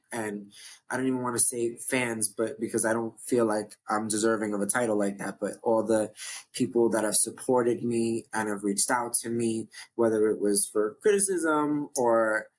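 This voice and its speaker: A man from New York with a deep voice, hosting his own online show in a casual, rambling talk to camera that often restarts mid-sentence. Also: steady tone, moderate pace, slight background noise. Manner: chatty, rambling, self-interrupting. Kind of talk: casual talking-to-camera update.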